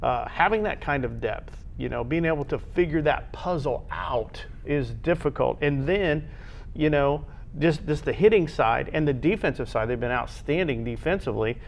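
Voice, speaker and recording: A man hosting a conversational sports show, with halting phrasing that often repeats itself. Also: on the high side, gravelly, a little hiss or hum. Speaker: sports talk show host.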